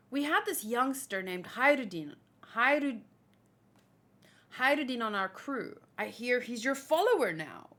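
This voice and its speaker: A woman with a fairly high voice hosts a manga read-along. She moves between reading lines aloud and chatting casually about them as an animated fan. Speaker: host reacting to a manga chapter read-along.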